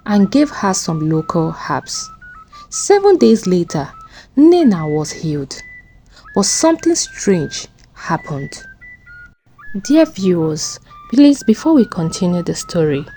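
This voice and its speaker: A woman's voice: fairly low pitch, dark tone, slight background noise. A woman storyteller narrating a scripted folktale in a dramatic style. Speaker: storyteller, channel host.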